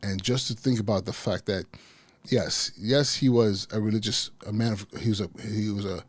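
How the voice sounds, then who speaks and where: Husky, low-voiced man who stutters. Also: steady tone, moderate pace, no room sound. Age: 40s. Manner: stutters, repeats and restarts words. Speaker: podcast host, school principal. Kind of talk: casual podcast commentary.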